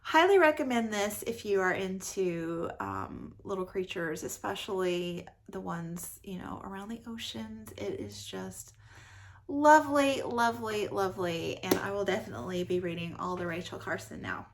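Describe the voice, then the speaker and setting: A woman's voice: medium pitch, animated, moderate pace. A woman giving a casual, effusive book review as a vlogger, often repeating words for emphasis.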